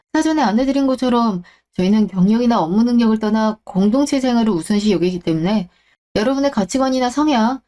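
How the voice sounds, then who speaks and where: A woman's voice: a little high, warm tone, close to an everyday voice. A woman playing a courteous company staffer in a radio drama, giving orderly, formal announcements. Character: courteous company staffer briefing job applicants.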